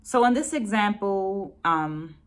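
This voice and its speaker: A middle-aged American woman reflecting on a book for her viewers in a measured, deliberate way. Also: mid-range voice, expressive. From United States. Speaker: dance educator hosting a book discussion.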